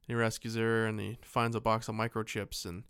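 A man co-hosting a podcast, casually retelling a film in a joking, animated way and happy to swear. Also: lowish voice, normal speed, clear, resonant tone, dry recording, clean studio sound. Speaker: podcast co-host. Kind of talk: casual film recap chat.

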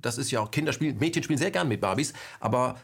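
A man's voice: mid-range voice, lively intonation, racing, gravelly, breathy edge. A man hosting a radio interview, asking probing follow-up questions and circling back to keep a topic going.

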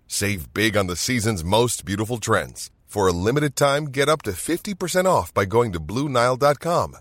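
Lively man reading an ad. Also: mid-range voice, dry recording. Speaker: ad announcer. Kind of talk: scripted ad read.

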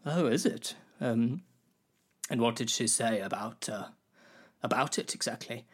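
A man's voice: mid-range voice, a little slow, husky. A man playing an earnest, disbelieving Shakespearean actor in a comedy sketch, hesitant, polite and stammering. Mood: flustered, disbelieving.

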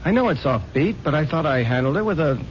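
An actor playing an eager, defensive newspaper reporter in a 1970s radio drama. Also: male voice, expressive, fast, close to an everyday voice. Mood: defensive, earnest. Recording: muffled old radio recording, faint rumble.

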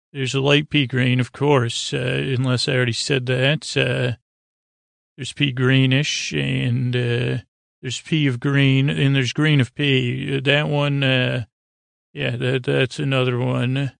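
Creaky, soothing man rambling softly. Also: medium pitch, no echo, studio quality. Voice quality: creaky, drawn-out soothing tones. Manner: hesitant, halting, circling back and trailing off; goofy, deliberately dull over-describing. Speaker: podcast host. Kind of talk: rambling, meandering bedtime monologue to help listeners sleep.

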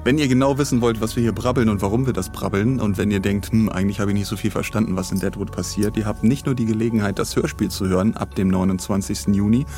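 A man's voice: lowish voice, fairly even intonation, fast, few pauses, a little mellow, soft background music. A man co-hosting a podcast in casual chat, rambling along with dry humour in a studio. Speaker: podcast co-host. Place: recording studio.

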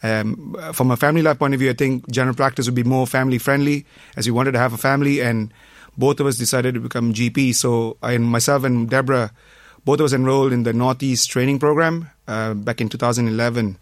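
Middle-aged man, from Malaysia, doctor guest, hesitant, modest, medium pitch, dry close sound. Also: fairly even intonation, fast talker, dry recording. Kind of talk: casual radio interview.

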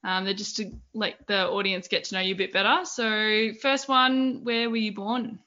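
Bright woman co-hosting a podcast. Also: mid-range voice, fairly even intonation, normal speed, highs cut off. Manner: reads questions, brief acknowledgements. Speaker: podcast co-host. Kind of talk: casual interview chat.

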